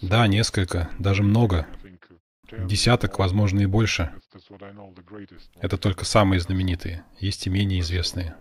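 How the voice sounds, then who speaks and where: A man from Russia, a blogger, talking in a calm, measured and modest way on a video call. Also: low-pitched. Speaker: blogger interviewing a guest. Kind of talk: calm Russian voice-over of a translated conversation.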